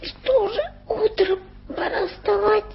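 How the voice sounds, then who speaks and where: A woman's voice: extremely high pitch, fairly even intonation, vocal fry, background noise, full character voice. An actress playing a feisty, proud young working girl in a 1980s radio play, touchy and stubborn. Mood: wary. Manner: touchy, stubborn, quick to take offence. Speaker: title character in a radio play. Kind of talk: 1980s radio drama acting. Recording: lo-fi archival radio recording with music.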